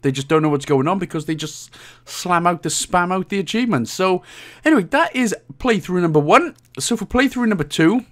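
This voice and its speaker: A man's voice: sits high, expressive, a little fast, a bit bright. A man with a Welsh accent giving casual, chatty, slangy walkthrough commentary on a video game as the guide's host. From Wales.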